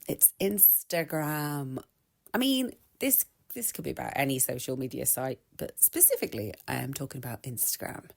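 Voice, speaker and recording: A woman's voice: lowish voice, gravelly, darkish tone. A woman in her thirties with an English accent hosts her own podcast in a rambling, chatty monologue, a little congested from an oncoming cold. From England. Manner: chatty, rambling, with many restarts and laughs. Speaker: podcast host. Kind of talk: casual solo podcast monologue.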